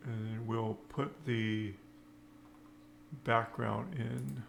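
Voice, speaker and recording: A man giving an unscripted coding tutorial, thinking aloud in halting, short fragments. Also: medium pitch, flat delivery, gravelly. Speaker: programming tutorial instructor. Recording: noisy with keyboard typing. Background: computer keyboard typing.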